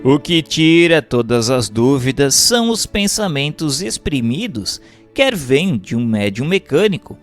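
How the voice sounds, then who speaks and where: Slow, expressive Brazilian man narrating. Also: mid-range voice, faint music behind. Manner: measured, formal, carefully phrased. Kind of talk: scripted audiobook-style reading of a doctrinal text.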